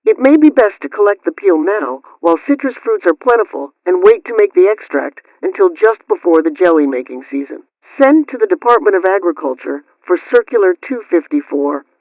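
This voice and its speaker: A man's voice: high voice, very animated, normal speed, hardly any pauses, no room sound. A man reading scripted household advice as a radio host, in a formal, instructional, carefully enunciated manner, question then answer. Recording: dull lo-fi radio-style sound.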